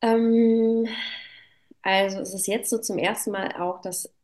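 A woman's voice: mid-range voice, fairly even intonation, slow, darkish tone. A woman in her thirties, a native German speaker, talking reflectively and casually as an interview guest, often adding little tag questions. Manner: reflective, filler-laden with frequent "ne" tags. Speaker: interview guest, self-employed business owner. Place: at home.

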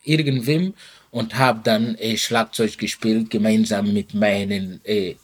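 Slow, hesitant man. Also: lowish voice, steady tone, husky. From Burkina Faso. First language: Mooré. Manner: slow, hesitant, many "äh" fillers. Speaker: musician guest. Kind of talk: interview answers.

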